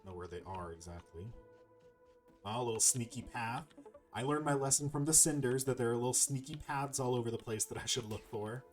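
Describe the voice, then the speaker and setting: A man's voice: medium pitch, a little fast. A man giving casual, chatty let's play commentary, thinking aloud over game music. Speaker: gaming video host.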